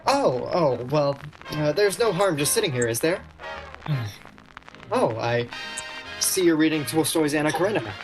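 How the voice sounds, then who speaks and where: A man's voice: a little high, lively intonation, moderate pace, pauses often, music in the background, poor audio. A man playing an awkward, prickly shop clerk in a vintage-style radio romantic comedy, speaking in a hesitant, wry way. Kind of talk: vintage radio play acting.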